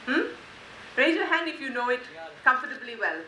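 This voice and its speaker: A woman's voice: high voice, animated, fairly quick, bright, forceful tone. A woman from Malaysia giving a conference talk, conversational with frequent fillers. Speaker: conference speaker. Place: conference hall. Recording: echoey hall, faint hiss.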